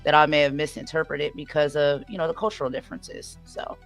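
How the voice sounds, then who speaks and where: A woman's voice: deep voice, fairly even intonation, fairly quick, soft background music. A woman from the United States talking in a reflective, casual way on a live stream, using many fillers. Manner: reflective, filler-heavy, with many "you know"s. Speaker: debate guest.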